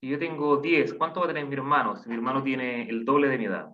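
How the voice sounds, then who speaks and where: Patient man teaching math slowly. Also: mid-range voice, fairly even intonation. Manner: patient, counts aloud slowly, asks the class questions. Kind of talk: online class explanation. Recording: dull lo-fi online class audio.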